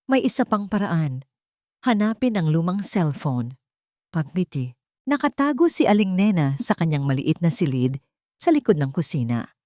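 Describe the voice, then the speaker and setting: A woman's voice: deep voice, mellow, no room sound, clean studio sound. A woman giving scripted, dramatic narration of audio fiction.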